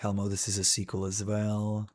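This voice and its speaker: A man's voice: on the low side, completely flat delivery, moderate pace, a little mellow, slight background noise. A male streamer talking casually and wryly while browsing a list, rambling with frequent "dude" and "man".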